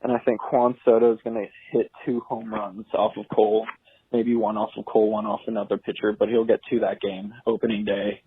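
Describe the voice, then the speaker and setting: A man's voice: lowish voice, flat delivery, a little fast, slight background noise. A man leaving a casual sports-fan voicemail over a lo-fi phone line. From United States. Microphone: phone call.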